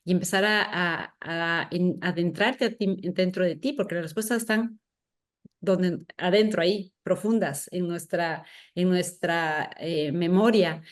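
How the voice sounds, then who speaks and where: A woman's voice: fairly low pitch, fairly even intonation, unhurried. A woman hosting a podcast, talking reflectively and searching for her words as she goes. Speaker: podcast host. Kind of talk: reflective conversational talk.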